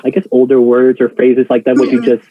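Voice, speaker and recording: A man's voice: mid-range voice, monotone, a little fast. A man chatting casually in a reaction discussion, hesitant, with many fillers and restarts. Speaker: co-host reacting to a show. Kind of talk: casual chat. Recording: dry, tinny phone-like sound.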